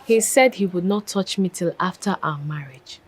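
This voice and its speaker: A woman's voice: lowish voice, moderate pace, slight background noise, close to an everyday voice. A young woman acting in a film drama as a coy, respectful Nigerian daughter. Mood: amused, proud. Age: young adult. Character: coy, respectful engaged daughter, reassuring her mother.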